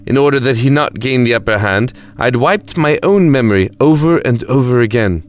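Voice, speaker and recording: A man playing a composed, wry time-travelling lord in an audio drama, measured and dry as he commands and recalls. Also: medium pitch, lively intonation, natural voice. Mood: reflective narration. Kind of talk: audio drama acting and narration.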